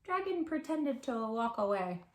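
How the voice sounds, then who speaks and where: A woman reading a picture book aloud to young children, with dramatic emphasis, hushed asides and questions to her listeners. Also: on the high side, very animated, a little slow, reverberant. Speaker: children's storytime host.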